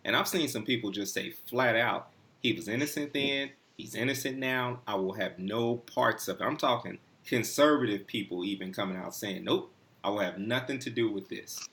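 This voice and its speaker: A man's voice: fairly low pitch, normal speed, a bit bright. A man hosting a casual talk show, steering the topics in laid-back slang. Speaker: host. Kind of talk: casual podcast chat.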